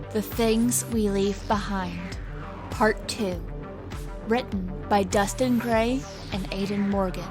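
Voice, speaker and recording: Slow-paced woman reading credits. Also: mid-range voice. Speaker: credits announcer. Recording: music behind the voice. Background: music.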